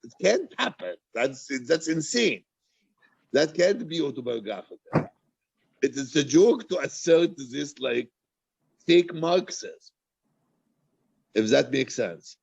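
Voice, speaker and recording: A man's voice: a little high, big pitch swings, slow pace, creaky, pauses often, slightly rough, no echo, highs cut off. A man, an author, talking candidly and passionately about his writing, emphatic and often repeating himself for stress. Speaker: author and poet answering audience questions. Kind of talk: animated, candid book-reading discussion.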